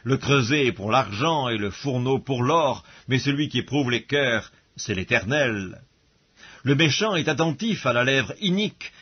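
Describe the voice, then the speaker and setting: A man reads scripture aloud as an audiobook narrator, solemn and measured, with formal diction, on a dull recording. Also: medium pitch, breathy edge.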